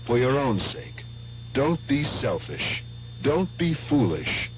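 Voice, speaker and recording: A man's voice: mid-range voice, sing-song, slow. A man reading a scripted public service appeal as an announcer. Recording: dull, noisy old radio with hum.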